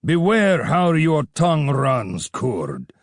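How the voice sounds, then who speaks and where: A man voicing a gruff, suspicious Afghan bandit chief with a bearded, growling manner. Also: a little high, huge pitch swings, slow, no room sound, studio quality, pitched above the performer's own voice, full character voice. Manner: growling, curt.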